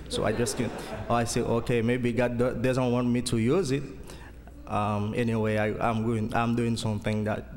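A man from Haiti with a Haitian accent giving a church testimony as a student, in an earnest, halting way as he searches for words. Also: mid-range voice, darkish tone. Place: church hall. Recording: long hall reverb.

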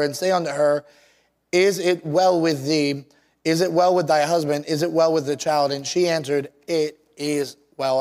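A man's voice: on the high side, a little mellow. A man preaching and reading scripture in a plainspoken way, his voice a little scratchy and worn out. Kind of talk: sermon with scripture reading and prayer.

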